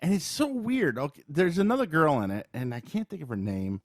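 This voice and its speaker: A man's voice: a little high, huge pitch swings, fairly quick, no room sound, studio quality. A man co-hosting a podcast, reviewing in a casual, informal way and thinking aloud as he goes. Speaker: podcast co-host. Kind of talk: casual chat, movie review.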